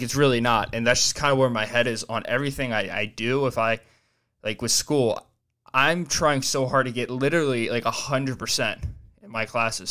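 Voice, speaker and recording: Young guy talking on his home podcast mic, chill and rambly, smooth clean voice, mid pitch. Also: fairly bright tone. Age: 20s. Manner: rambling, loose and profane, self-reflective. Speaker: podcast host. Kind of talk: casual solo chat. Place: small home studio room, indoors. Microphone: desk mic on boom arm, headphones on.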